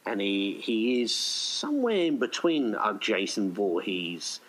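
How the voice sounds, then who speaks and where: A man with a fairly low voice talks as a guest in a casual interview. He rambles a little and hesitates, with a modest, self-deprecating way of explaining himself. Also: very animated, a little slow, a bit bright, low-quality recording. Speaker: writer and director, podcast guest.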